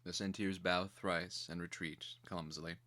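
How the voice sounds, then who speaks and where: Casting: male narrator for fantasy audio drama, very low voice with a forceful edge, measured and theatrical. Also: flat delivery.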